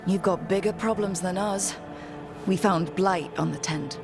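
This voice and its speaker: A woman's voice: fairly low pitch, a little slow, creaky. A woman playing a determined, plain-spoken adventurer leading a party in a video game cutscene. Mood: alert. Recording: game music under the voice.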